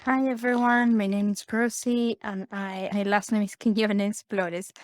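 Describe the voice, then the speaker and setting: A woman from Peru in her thirties, a podcast guest talking in a relaxed way full of "like" fillers. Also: medium pitch, measured pace, no room sound, clean studio sound. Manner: chatty, with frequent "like" fillers. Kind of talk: conversational interview.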